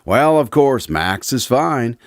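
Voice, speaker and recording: An actor playing a courteous radio announcer, hosting in an old-time radio style with polite formality. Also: male voice, mid-range voice, sing-song, slow, no echo, studio quality. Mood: genial. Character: Craig, courteous male radio announcer visiting his doctor friend.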